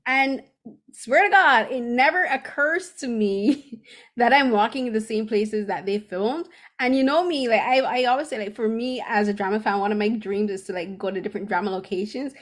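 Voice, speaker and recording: A woman from Barbados, hosting a casual podcast chat and talking in an animated, rambling way full of fillers and restarts. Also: a little high, normal speed. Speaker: podcast host. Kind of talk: casual chat about a TV drama.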